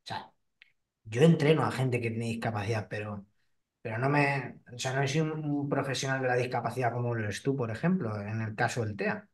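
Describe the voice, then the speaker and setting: A man's voice: medium pitch, fairly quick, echoey room, recorded from a distance. A man hosting a casual interview, talking with many restarts and fillers. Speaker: host and fitness trainer.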